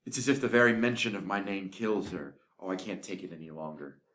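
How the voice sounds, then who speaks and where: A man's voice: fairly low pitch, brisk, a bit bright, natural voice. A man voicing a lovesick, despairing young man who speaks with passion in a scripted audio drama. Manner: impassioned, pleading. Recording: echoey room.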